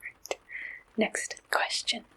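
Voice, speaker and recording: A woman whispering an ASMR roleplay as an attentive, businesslike dating service agent, asking questions softly and deliberately in a breathy voice. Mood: gentle, attentive.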